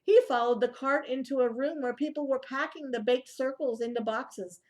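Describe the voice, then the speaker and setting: A woman reading a picture book aloud to young children in an expressive way, with asides and questions to the listeners. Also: high-pitched, moderate pace.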